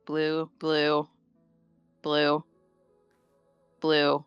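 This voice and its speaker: A woman's voice: deep voice, completely flat delivery, extremely slow, smooth, dry recording, dull audio, soft background music. A woman chatting casually and playfully while gaming, with plenty of swearing. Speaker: gamer friend pulling alongside.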